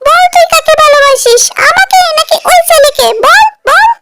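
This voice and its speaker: An actor voicing a lovesick, excitable little male cartoon ghost in a squeaky, childlike voice with colloquial speech. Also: normal speed. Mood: hurt, angry.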